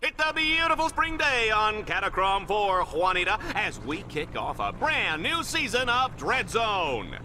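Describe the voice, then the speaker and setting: High, excitable, smarmy sportscaster. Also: male voice, measured pace, few pauses, a little hiss or hum, exaggerated voice. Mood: excited. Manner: glib showman, mock enthusiasm. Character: excitable, flippant, smarmy male sports TV anchor. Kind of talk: parody sports commentary, game cutscene. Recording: music behind the voice.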